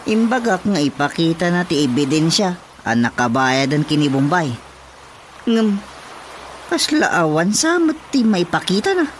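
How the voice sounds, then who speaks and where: Flustered Ilocano village councilor. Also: male voice, on the high side, sing-song, a little slow, slight background noise. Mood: insistent. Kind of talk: Ilocano radio drama comedy acting.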